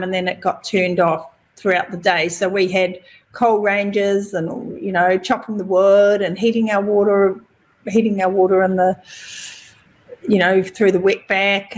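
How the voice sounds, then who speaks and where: A woman's voice: medium pitch, measured pace. Chatty New Zealand woman guest. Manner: chatty, relaxed storytelling with fillers. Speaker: podcast guest. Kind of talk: casual interview chat.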